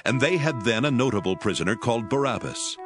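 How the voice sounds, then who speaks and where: A man narrating scripture in a steady, formal reading style. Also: medium pitch, quick pace. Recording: narration over music.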